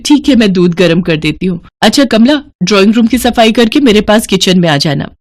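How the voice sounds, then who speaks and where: A woman's voice: medium pitch, lively intonation, a little fast, lightly acted. A woman voicing a busy young city wife and employer who gives instructions in a moral story cartoon. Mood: matter-of-fact.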